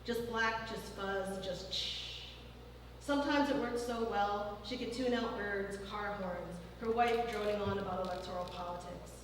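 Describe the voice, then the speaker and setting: A woman reading her fiction aloud at a live event in a large former church hall, in a measured, even way. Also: mid-range voice. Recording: distant mic in a very echoey hall, faint noise.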